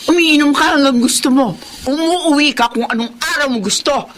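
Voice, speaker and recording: A woman acting a blunt, sharp-tongued Filipino wife and mother, fed up, in a radio drama. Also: high voice, moderate pace. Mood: scolding. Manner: scolding, blunt.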